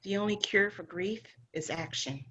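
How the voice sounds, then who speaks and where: A woman's voice: medium pitch, moderate pace, bright, forceful tone, husky. A woman playing a tough, hard-as-nails forest hunter in a table read, clipped, cool and confident, in a dull recording. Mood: cool, resolute. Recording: dull, lo-fi sound.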